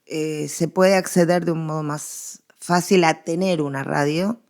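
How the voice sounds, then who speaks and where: Low-pitched, creaky Argentine woman explaining history. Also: steady tone, measured pace, darkish tone. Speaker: interviewed historian, guest expert. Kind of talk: conversational interview, explaining history.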